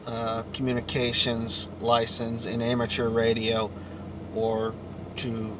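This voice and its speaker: A man giving an informal explainer monologue to viewers in a halting, deliberate way, with long pauses. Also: mid-range voice, noisy background. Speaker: vlogger.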